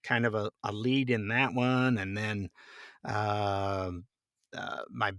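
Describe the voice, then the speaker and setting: A man's voice: on the low side, level tone, slow, a bit bright, no room sound, clean studio sound. A middle-aged man chatting casually as an interview guest, telling anecdotes in a rambling, chuckling way. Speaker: podcast guest, voice actor.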